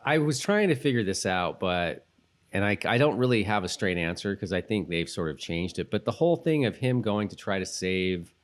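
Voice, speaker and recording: Rambling man with a lowish voice. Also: lively intonation, normal speed. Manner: rambling, thinks aloud in loose tangents. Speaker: podcast co-host. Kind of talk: casual TV rewatch chat.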